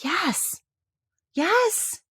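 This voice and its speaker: A woman voicing a frail, lonely, excitable young boy in a storybook reading. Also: very high voice, extremely slow, voice pushed up, full character voice. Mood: overwhelmed excitement.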